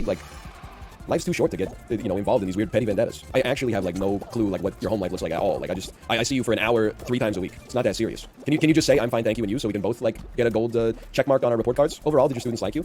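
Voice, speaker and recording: A man in his early thirties with a deep voice, hosting a casual gaming chat and telling rambling stories full of "like", in a dry, unenthusiastic way. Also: darkish tone. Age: early 30s. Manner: rambling, talky, heavy on filler "like"s. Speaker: streamer and game host.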